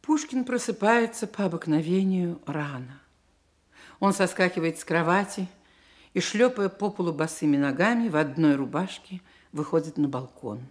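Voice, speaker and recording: Female narrator for radio drama, slightly low register, literary storytelling. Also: moderate pace.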